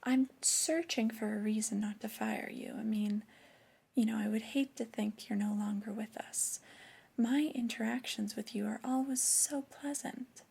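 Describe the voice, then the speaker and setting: Woman as flirty, disappointed boss for ASMR roleplay. Breathy, whispery, creaky low register in delivery, intimate reprimands. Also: steady tone, a little mellow, full character voice. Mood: coaxing, reluctant. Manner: slow, deliberate, intimate reprimanding. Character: flirty, disappointed female store boss scolding an employee. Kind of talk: soft-spoken ASMR roleplay.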